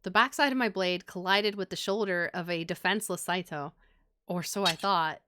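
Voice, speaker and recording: A woman's voice: fairly low pitch, moderate pace, heavy background noise. A woman streamer reading a visual novel aloud and reacting casually, expressively, with some swearing. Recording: game music behind the voice. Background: video game music.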